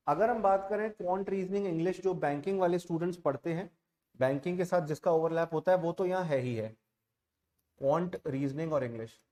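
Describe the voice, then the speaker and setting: A man's voice: on the high side, a little mellow. A man with an Indian accent giving an informal online exam-prep lecture as a coaching teacher, conversational and emphatic, switching between Hindi and English.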